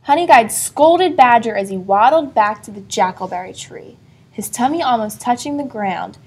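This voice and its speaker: A woman reading a children's story aloud expressively, pausing to put questions to a young listener. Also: fairly high pitch, lively intonation, a little mellow, breathy edge. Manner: asks a child engaging questions. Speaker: storybook reader.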